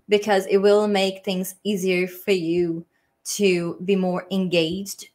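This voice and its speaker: Young Brazilian woman, friendly live-stream teacher, halting English with fillers and restarts. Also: mid-range voice, darkish tone. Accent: Brazilian Portuguese. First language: Portuguese. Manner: hesitant, many ums and restarts, friendly. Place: small room at home, indoors. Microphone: webcam framing, desk mic.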